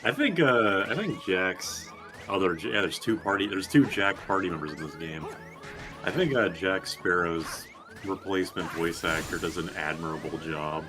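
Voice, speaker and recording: Male game streamer, low register, casual sweary commentary over game music. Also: lively intonation, normal speed.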